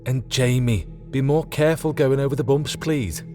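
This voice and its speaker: A man voicing a brisk, bossy female garage mechanic in an audiobook, familiar and commanding. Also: medium pitch, mellow, soft background music, pitched above the performer's own voice, natural voice. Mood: brisk, scolding. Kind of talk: audiobook character dialogue.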